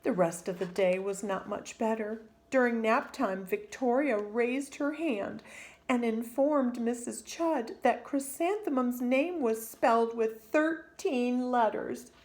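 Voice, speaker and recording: Very slow-paced woman reading a storybook. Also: fairly high pitch, darkish tone. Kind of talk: children's storybook read-aloud.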